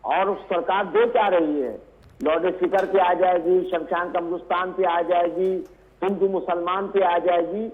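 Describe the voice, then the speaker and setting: A man's voice: high-pitched, steady tone, moderate pace, phone-quality sound. A man arguing indignantly and emphatically as a debate guest over a remote call. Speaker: religious scholar, debate guest. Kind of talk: argumentative TV debate. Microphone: remote call link. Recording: thin, band-limited remote call audio.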